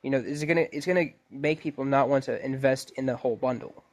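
A man's voice: medium pitch, quick pace. A man co-hosting a podcast in a casual gaming discussion, stammering and often restarting his sentences. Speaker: podcast co-host. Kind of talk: casual video game discussion.

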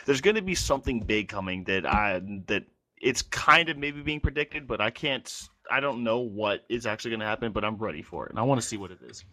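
A man's voice: fairly low pitch, animated, a little fast, pushed and bright, no room sound. A man co-hosting a casual gaming podcast, talking eagerly about what he wants to see next. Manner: eager, enthusiastic wishing aloud. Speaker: podcast co-host.

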